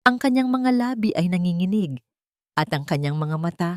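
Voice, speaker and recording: Fairly low-voiced woman narrating a drama. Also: lively intonation, moderate pace, no echo, clean studio sound. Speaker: storyteller. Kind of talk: dramatic scripted first-person narration.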